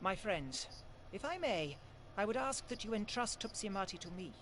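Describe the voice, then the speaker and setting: Young fantasy game scholar, high-pitched, earnest, formal, dutiful, faint ambience. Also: male voice, very animated, moderate pace, fairly bright tone, a little hiss or hum. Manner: formal, solemn.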